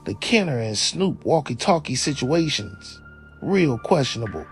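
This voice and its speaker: A man's voice: mid-range voice, very animated, measured pace. A man giving scripted true-crime commentary as a video narrator, in an opinionated, slangy manner with some profanity. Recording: voice-over with faint music behind.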